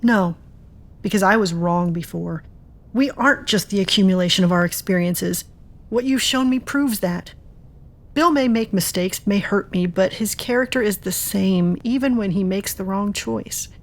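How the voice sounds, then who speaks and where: A woman's voice: medium pitch, normal speed, close to an everyday voice. A woman playing an earnest, reflective woman searching for answers in an audio drama. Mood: reflective, assured.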